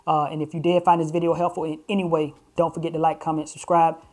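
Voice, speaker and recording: A man in his thirties reviewing tech gear to camera from a home studio, talking in a relaxed, conversational way with lots of fillers. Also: fast talker. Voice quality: self-described high-pitched voice. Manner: relaxed, conversational, many "uh" and "you know" fillers. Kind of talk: casual product review to camera. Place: home studio room with shelves and purple lights. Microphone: desk broadcast mic, later clip-on wireless mic.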